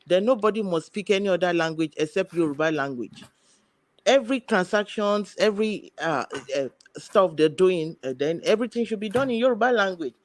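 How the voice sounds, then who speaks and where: A woman's voice: lowish voice, animated, a little fast, no echo. A woman arguing on a live panel, hesitant with many fillers and restarts.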